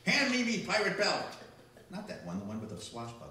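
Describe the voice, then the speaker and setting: A man's voice: high voice, huge pitch swings, brisk, noisy background. A man playing a boastful, drunken pirate captain in a comic stage skit, growling in hearty pirate talk. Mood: boastful, jokey. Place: small library room before a live audience. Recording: echoey room, far from the mic.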